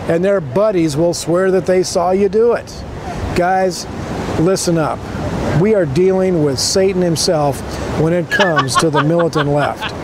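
A man delivering an earnest, urgent sales pitch to camera in a preachy, exhorting manner. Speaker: political video maker pitching a sponsor. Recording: noisy outdoor video with traffic ambience.

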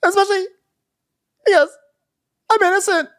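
Man putting on a falsetto dramatic woman. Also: moderate pace, a bit bright. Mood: mock-sincere. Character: melodramatic woman in a high falsetto.

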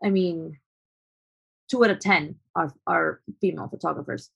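A woman's voice: lowish voice, normal speed, creaky, fairly bright tone, slightly rough, lo-fi sound. A young adult woman photographer from Colombia, answering interview questions in a thoughtful, hesitant way with frequent restarts.

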